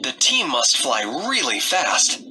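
A man narrating a read-aloud story for young children in short, expressive sentences. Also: mid-range voice, unhurried, background noise.

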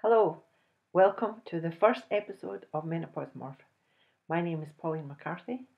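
A woman in her fifties with a Scottish accent, telling a personal story to camera in an animated, chuckling way. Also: on the low side, normal speed, vocal fry, muffled recording, soft background music. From Scotland. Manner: animated, chuckles often, acts out dialogue. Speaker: host. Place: indoors, small room, plain backdrop.

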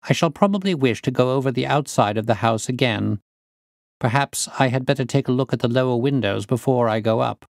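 A male reader acts a composed detective of a classic mystery. His questions are measured, polite and precise, in a lifted voice on a dry recording. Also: medium pitch, creaky, no room sound, pitched above the performer's own voice, natural voice. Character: composed, probing gentleman detective. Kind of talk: audiobook character reading.

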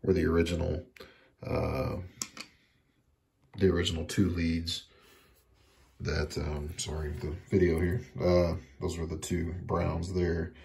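Casting: man with a low, creaky voice for an informal electronics repair walkthrough, hesitant and repetitive in phrasing. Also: little pitch movement, darkish tone. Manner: halting, repeats words and trails off. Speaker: hobbyist demonstrating electronics repair. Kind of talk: casual hands-on how-to narration. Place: indoors at a workbench.

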